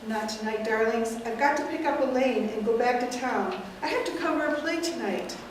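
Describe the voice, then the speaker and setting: A woman's voice: a little high, lively intonation, a little fast, a little hiss or hum, close to an everyday voice. A woman reading the part of an exasperated young male nephew, pressing anxious questions in an echoey room, far from the mic. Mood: incredulous. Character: fretful young male nephew, a drama critic.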